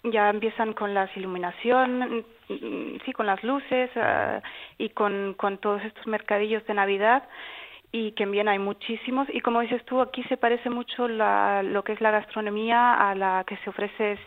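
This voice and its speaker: A woman's voice: medium pitch, steady tone, moderate pace. A woman in her thirties from Gran Canaria with a Canarian Spanish accent, a radio guest on the phone, explaining hesitantly and repeating words. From Gran Canaria, Spain. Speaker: tourism office marketing guest. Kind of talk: phone interview. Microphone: telephone. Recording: tinny phone line.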